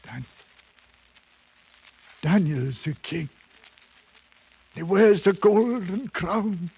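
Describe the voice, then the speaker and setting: An actor playing a half-mad British ex-soldier, a haunted survivor, halting and rambling, in 1950s radio drama style. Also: male voice, high-pitched, expressive, gravelly, a little mellow, husky, heavily acted. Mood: haunted reverence. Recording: muffled, noisy 1950s radio broadcast.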